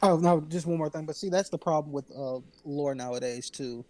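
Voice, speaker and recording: A man's voice: fairly high pitch, fairly quick, a little mellow. A man on a casual panel podcast, explaining video game lore earnestly and at length.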